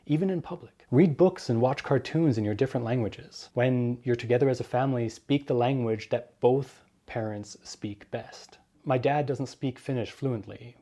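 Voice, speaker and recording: A man's voice: medium pitch, dark tone. A young man in his twenties who grew up in Germany, talking earnestly in a vlog and explaining and giving direct advice. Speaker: vlogger.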